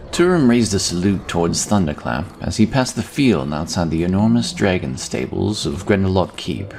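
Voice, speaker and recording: A man's voice: low voice, creaky, darkish tone, a little hiss or hum. A man reading scripted fantasy narration as an audiobook narrator.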